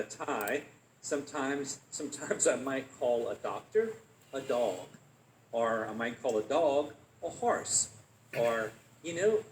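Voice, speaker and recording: A man's voice: on the high side, darkish tone, a little hiss or hum. A man giving a lecture to an audience, who restarts phrases often and checks in with "okay?". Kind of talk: animated academic lecture. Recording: echoey room.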